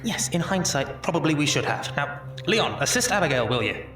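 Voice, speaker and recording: A man playing a brisk, authoritative doctor in a period audio drama, formal and commanding. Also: a little high, very animated, fairly bright tone. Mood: brusque. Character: brisk, authoritative Victorian army doctor at work. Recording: echoey room, distant mic.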